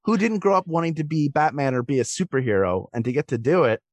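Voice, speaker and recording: A man's voice: on the high side, expressive, quick pace, dry recording. A man talking as a guest in a casual discussion, explaining things and rephrasing as he goes. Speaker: podcast guest, performer.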